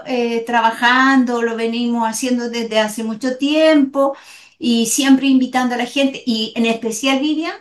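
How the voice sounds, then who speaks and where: High-voiced woman guest in an echoey room. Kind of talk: conversational interview.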